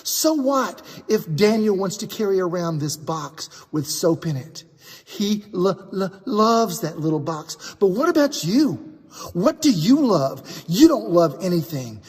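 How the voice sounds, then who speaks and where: A shy boy who stutters on his words, as a man reading aloud puts him on, his voice raised high with a husky grain. He pushes through each repeated sound with growing nerve. The words ring out with a long hall reverb. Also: very animated, measured pace, a little mellow, heavily acted. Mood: defiant. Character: timid stuttering boy, finding courage.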